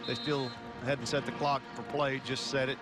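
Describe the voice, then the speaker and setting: A man's voice: mid-range voice, flat delivery, moderate pace, over loud music. A man giving folksy, conversational color commentary on a live football game. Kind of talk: live sports analysis commentary. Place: football stadium broadcast position. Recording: loud stadium noise behind the voice. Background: loud stadium ambience.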